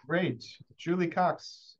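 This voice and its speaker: A man's voice: on the high side, slow pace, background noise. A man hosting a video call, calling on people by name in brief, polite turns. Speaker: host. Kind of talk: moderating introductions on a video call. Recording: muffled video call audio.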